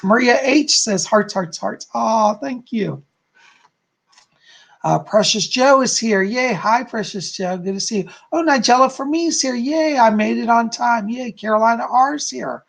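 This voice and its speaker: Man, hoarse and raspy, husky voice, chatty live-stream host, effusive, keeps asking "okay?". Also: very high-pitched, animated, unhurried, a bit bright. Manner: chatty, effusive, frequent "okay?" and "you know". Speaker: psychic hosting a live stream. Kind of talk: casual live-stream chat greeting viewers. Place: at home.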